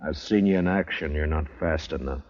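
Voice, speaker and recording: Deep-voiced, terse Old West marshal. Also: male voice, brisk. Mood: accusing, cold. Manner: terse, matter-of-fact, authoritative. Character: stern, laconic Old West marshal, quietly menacing. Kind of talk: 1950s radio western acting. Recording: dull vintage radio recording, music behind.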